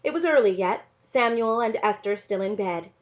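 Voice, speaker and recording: A woman narrates a story as a read-aloud, with expressive storybook phrasing. Her voice sits at a middle pitch. The recording is a little dull and lo-fi. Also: moderate pace.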